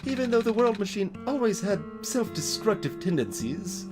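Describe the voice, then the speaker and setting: A let's player voicing a weary, grave robot guide from a video game, explaining things in a measured way. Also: medium pitch, very animated, natural voice. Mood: somber, worried. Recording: music behind the voice.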